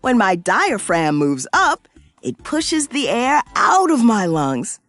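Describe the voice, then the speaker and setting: A woman playing a cheerful, excitable science professor who explains things in an exaggerated, sing-song way for young children in an educational cartoon. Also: a little high, slow, heavily acted. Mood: upbeat, explaining. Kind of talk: scripted educational cartoon narration.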